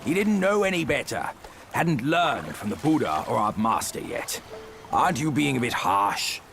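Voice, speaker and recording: A man's voice: medium pitch, big pitch swings, normal speed, vocal fry, over music. A man voicing a cheeky, good-natured companion creature in a video game, chatty, playful and irreverent. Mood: defensive, playful. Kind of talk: video game cutscene acting.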